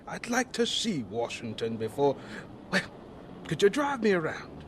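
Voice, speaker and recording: An actor playing a kindly, absent-minded elderly gentleman scientist in a radio drama, hesitant and given to repeating words as he rhapsodizes. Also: on the high side, sing-song, measured pace. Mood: earnest. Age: older. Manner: hesitant, repeating words, rapturous about nature. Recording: noisy old radio drama recording.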